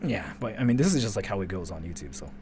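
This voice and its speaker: A man's voice: expressive, fast talker, background noise. A Japanese man speaking English as a second language with a Japanese accent, chatting casually as a streamer reacting to a video, rambling with many fillers. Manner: rambling, lots of filler "like", drifting pitch.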